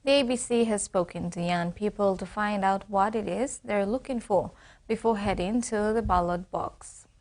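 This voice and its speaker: A woman's voice: mid-range voice, moderate pace, darkish tone. Woman reading the news. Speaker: news presenter.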